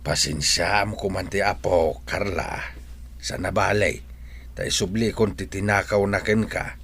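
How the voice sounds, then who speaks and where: A man's voice: deep voice, fairly even intonation, unhurried, vocal fry, a bit bright, breathy edge, full character voice. A man acting a stern old village grandfather in a radio comedy drama, admonishing, with a Filipino accent. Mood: apologetic.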